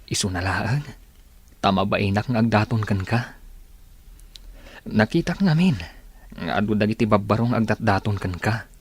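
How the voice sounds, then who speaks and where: An actor playing an earnest, tender man in love in a radio drama, with an Ilocano accent and a slightly husky voice. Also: fairly low pitch, expressive, moderate pace, long pauses. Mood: tender, pleading. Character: Marvin, earnest lovestruck male suitor, tender and pleading.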